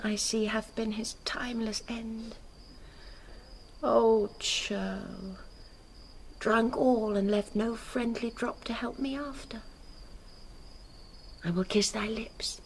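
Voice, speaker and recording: Grieving resolute young bride, slightly high voice, slow intense verse, classical radio drama, faint night noise. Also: female voice. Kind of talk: classical Shakespearean radio acting.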